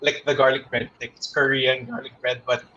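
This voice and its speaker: A man's voice: mid-range voice, flat delivery, fairly quick, highs cut off, noisy background. Young man with a lilt. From Philippines. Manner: switches between English and Tagalog in loose, rambling sentences. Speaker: guest. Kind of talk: casual interview chat.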